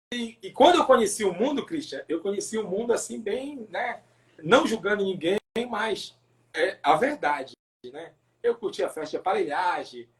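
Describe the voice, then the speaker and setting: A man from Pará with a northern Brazilian accent telling his personal testimony as a pastor in a casual interview, haltingly, repeating words and adding tag questions. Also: high-pitched. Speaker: pastor, podcast guest.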